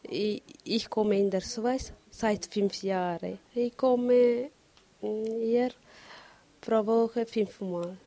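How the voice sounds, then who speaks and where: A young woman from Sri Lanka with a Sri Lankan accent, a non-native learner searching haltingly for words in an interview. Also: on the high side, fairly even intonation, dark tone. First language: not German. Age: young adult.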